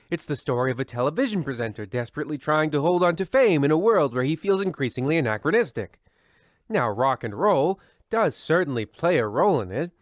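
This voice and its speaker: A man's voice: medium pitch, dry recording, clean studio sound, lightly acted. A man playing a pompous, wry narrator of an old radio mystery series, arch and disdainful in his wordy delivery.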